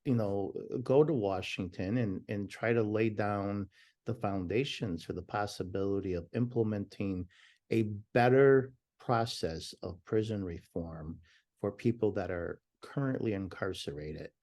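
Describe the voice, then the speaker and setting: A man's voice: on the low side, a little slow. A man speaking as a podcast guest, talking passionately and emphatically over a remote call, sometimes restarting his sentences. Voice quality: loud voice. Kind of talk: conversational interview.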